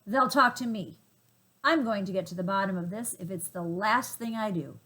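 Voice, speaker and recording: A woman's voice: mid-range voice, moderate pace, fairly bright tone. A woman voicing grumbling, stubborn wild boars in a storybook reading, indignant and emphatic.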